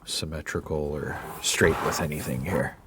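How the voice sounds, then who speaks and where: A man with a raspy voice, fighting off a cold, talking softly through a drawing tutorial as he sketches and thinks aloud. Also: rumbling low, fairly even intonation, normal speed, heavy background noise. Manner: soft-spoken, thinking aloud while sketching, sometimes half-whispered. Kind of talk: relaxed drawing tutorial commentary.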